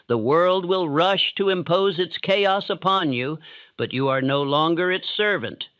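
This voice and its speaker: Dull-sounding, higher-pitched calm male narrator. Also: no room sound. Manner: calm, steady, measured rhythm. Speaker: audiobook-style narrator. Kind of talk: scripted self-help narration for sleep.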